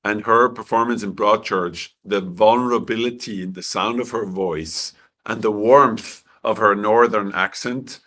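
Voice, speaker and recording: A man from Switzerland, a film director answering interview questions in a halting way with many restarts, clearing his throat as his voice goes. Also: lowish voice, fairly even intonation, a bit bright.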